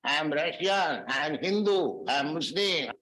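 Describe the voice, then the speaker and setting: Male spiritual lecturer, raised pitch, deliberate phrasing, echoey archive recording. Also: animated, bright, forceful tone. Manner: slow, measured phrasing with Sanskrit verses. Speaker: spiritual teacher giving a lecture.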